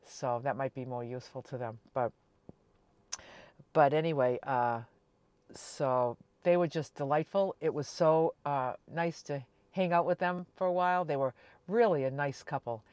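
A woman in her sixties chatting casually to camera as a vlogger, telling everyday stories in a chatty, animated way. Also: low voice, moderate pace, no echo. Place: inside a small camper van.

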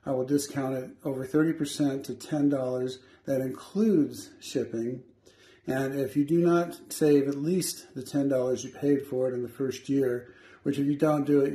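Matter-of-fact man with a mellow voice. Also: medium pitch, slightly rough, dry recording. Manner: plain, matter-of-fact, unhurried. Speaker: travel vlogger. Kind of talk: casual campground tour narration. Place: moving vehicle. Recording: faint car noise behind.

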